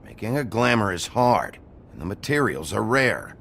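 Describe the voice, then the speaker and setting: A very deep, gravelly sheriff from a video game. He is stern and weary, speaking in blunt, terse lines that still swing in pitch. A faint rumble sits behind him. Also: sing-song, normal speed, a bit bright, full character voice. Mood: firm, questioning. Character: gruff, stern, weary male sheriff of fairy-tale folk.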